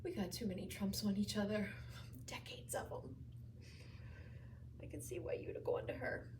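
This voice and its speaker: A woman playing a wistful, regretful patient in a dramatic stage monologue, wry, quiet and breathy, often close to a whisper. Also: mid-range voice, big pitch swings, slow pace, distant mic, noisy background. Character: wistful, dependent patient fond of her nurse, quietly regretful.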